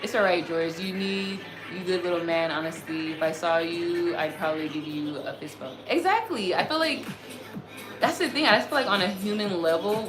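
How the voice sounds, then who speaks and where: A woman of about thirty chatting casually on a livestream as its host, reading and answering comments in an earnest, effusive way, repeating herself as she goes. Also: on the low side. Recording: roomy echo, music behind the voice. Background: music.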